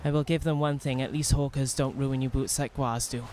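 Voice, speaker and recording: A young man from Wales with a softened Welsh accent, streaming a role-playing game in rambling, self-mocking chat full of restarts. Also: mid-range voice, fairly even intonation, a little fast, slight background noise. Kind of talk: casual in-character role-play commentary.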